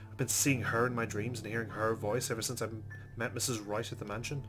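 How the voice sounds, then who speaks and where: Male let's-play host with a low voice, narrating a visual novel over prominent music. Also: level tone, fast talker. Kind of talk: narrated game playthrough with casual commentary.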